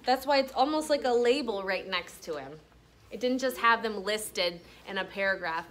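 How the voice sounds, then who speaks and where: Lady teacher doing a classroom read aloud, bright voice kinda high, explains and asks questions, some room noise behind. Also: normal speed. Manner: expressive, instructional, checks in with questions. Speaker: elementary school teacher.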